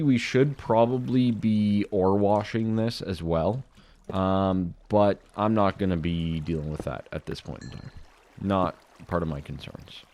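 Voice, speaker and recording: A young man with a slightly dry throat, chatting casually to viewers while he plays through a modded Minecraft series. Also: low voice. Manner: relaxed, chatty, talks to viewers while playing. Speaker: gaming video host. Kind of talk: casual Minecraft modpack commentary.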